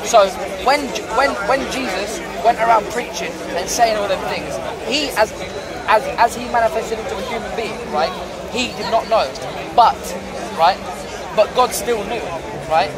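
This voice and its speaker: Young man, high voice, rambling explanation, keeps asking right, loud crowd noise around. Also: normal speed. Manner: rambling, often adds "right?". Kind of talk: street debate. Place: crowded city park outdoors. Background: crowd chatter.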